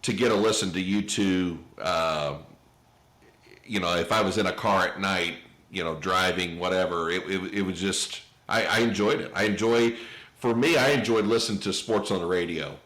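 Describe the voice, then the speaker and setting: American man, middle-aged, lowish pitch, echoey room sound, casual podcast host, halting phrasing. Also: a bit bright. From Indiana, US. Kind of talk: casual chat. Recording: roomy, echoey pickup.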